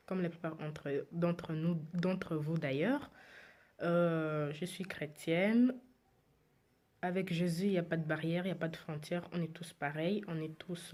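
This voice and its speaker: A woman's voice: fairly low pitch, a little mellow. A woman in her twenties who grew up in Belgium and is a native Lingala speaker, casually introducing herself and answering followers' questions, repeating phrases for emphasis. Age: 20s.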